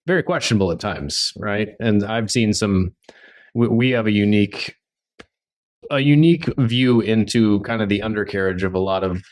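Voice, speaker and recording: A man's voice: lowish voice, moderate pace, darkish tone. A man hosting an interview, asking curious, probing questions with some hesitations. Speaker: interview host. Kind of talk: casual interview talk.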